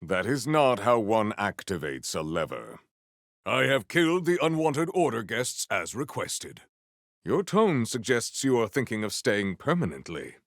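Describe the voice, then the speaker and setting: A deep, gravelly, grandiose warrior. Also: male voice, very animated, fairly bright tone, no echo, clean studio sound, heavily acted. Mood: stern. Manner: grandiose, stiffly formal, earnest, deliberate. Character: proud, formal, grandiose male sci-fi warrior. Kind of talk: video game character voice lines.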